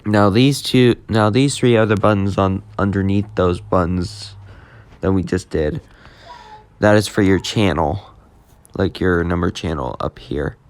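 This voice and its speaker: A man giving a casual, unscripted tech demo in a halting, repetitive way that tends to trail off. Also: low voice. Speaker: video host demonstrating a walkie-talkie app.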